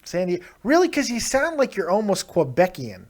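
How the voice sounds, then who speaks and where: Male call-in host, slightly high voice, brash and teasing, crude humor, laughs loudly. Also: wildly swooping pitch, fairly quick. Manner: loud, teasing, bursts of laughter. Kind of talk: crude joking call-in chat.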